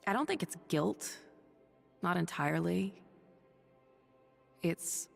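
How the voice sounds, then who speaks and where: A woman voicing a weary, noble superhero thunder goddess for a video game, confiding in measured, breathy speech. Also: lowish voice, big pitch swings, in a large hall, soft background music, full character voice. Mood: reflective. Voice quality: breathy, husky. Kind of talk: video game voice acting, dramatic dialogue and audio-log monologue.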